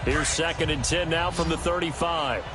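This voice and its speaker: A man's voice: fairly high pitch, moderate pace, over loud music, lightly acted. An American man doing play-by-play commentary for an American football game. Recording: loud stadium noise behind the voice. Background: stadium ambience from a football video game.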